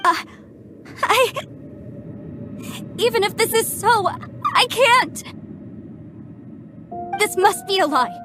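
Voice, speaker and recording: An actress playing a distraught, devout woman in a video game, halting and emotional as she clings to her faith, with music behind. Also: very high-pitched, lively intonation, noisy background, full character voice. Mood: shaken disbelief. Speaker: voice actor playing a game character. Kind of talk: scripted video game dialogue. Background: background music.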